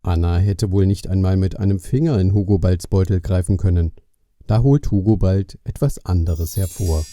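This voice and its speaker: A man's voice: low voice, normal speed, dark tone, no room sound, clean studio sound. A man narrating a scripted Christmas story for children as its storyteller.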